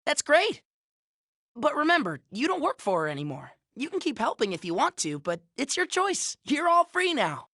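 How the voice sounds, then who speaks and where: High-pitched, earnest boy hero. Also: big pitch swings, normal speed, no echo, clean studio sound. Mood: reassuring. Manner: gentle, sincere, youthful. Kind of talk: animated game cut-scene acting.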